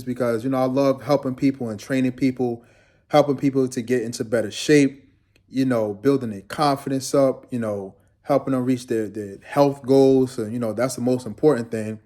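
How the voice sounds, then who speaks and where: A man's voice: mid-range voice, normal speed, warm tone. Laid-back young man vlogging casually. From Guyana. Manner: laid-back, rambling, addresses viewers as "man". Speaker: fitness trainer vlogger. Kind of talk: casual talking-head vlog.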